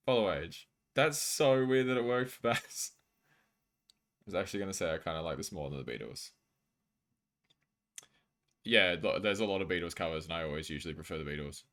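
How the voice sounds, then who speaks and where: A man's voice: low-pitched, animated, a little fast, long pauses, fairly bright tone. An Australian man live streaming, chatting casually and jokily with viewers as he reads out their messages.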